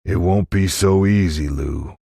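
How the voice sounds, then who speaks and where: Man acting a deep, grim Old West sheriff. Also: creaky, warm tone, dry recording, studio quality, full character voice. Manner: slow, folksy, blunt.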